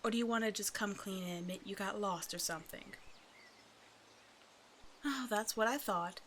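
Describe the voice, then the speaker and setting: A woman's voice: medium pitch, normal speed, fairly bright tone, lightly acted. Smug, teasing snake woman, acted by a woman, noisy backdrop. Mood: smug, condescending. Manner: teasing, condescending, mock-sweet pet names. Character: hungry female naga, half snake, teasing, smug, playfully predatory. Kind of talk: ASMR roleplay audio drama, addressing the listener.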